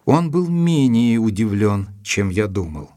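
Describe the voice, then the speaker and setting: Earnest gentleman narrator, lowish voice, dry close sound, measured literary delivery, detective audio drama. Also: very animated, dry recording. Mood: measured, reflective. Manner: measured, deliberate, literary phrasing.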